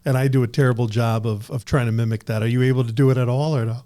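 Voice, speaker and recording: A man's voice: mid-range voice, fairly even intonation, rapid-fire, dark tone. A man hosting a casual podcast interview, encouraging his guest and laughing along. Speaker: podcast host.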